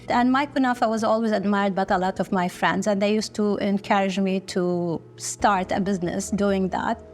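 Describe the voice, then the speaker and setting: A middle-aged woman from Kuwait, speaking slowly and earnestly with short pauses in a documentary interview. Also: medium pitch, a little mellow. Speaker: business founder.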